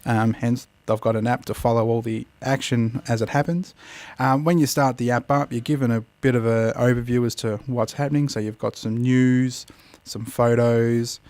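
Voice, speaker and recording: An Australian man hosts a casual review in a middle-pitched voice. He talks through each point as he goes, a little hesitantly and in plain, explanatory terms. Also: steady tone, normal speed, hardly any pauses, a little mellow, no echo. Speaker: host of an app review show.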